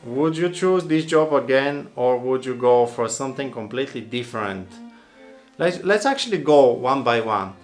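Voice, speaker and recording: A man's voice: medium pitch, animated, moderate pace, soft background music. Rambling, reflective male oboist. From Bulgaria. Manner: thoughtful, rambling, many restarts and fillers. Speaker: oboist and channel host. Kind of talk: casual Q&A answering viewer questions.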